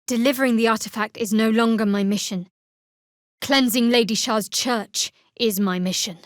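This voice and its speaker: Actress as young female cleric, higher voice, guarded, dry wit, measured delivery, close dry studio sound, fantasy game dialogue. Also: steady tone, dry recording, lightly acted. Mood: resolute, grave. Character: guarded, wry young woman cleric of a dark goddess. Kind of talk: video game character dialogue.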